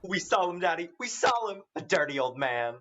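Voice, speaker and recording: Excited child, dull noisy sound played by a woman. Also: on the low side, moderate pace. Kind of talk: radio play acting.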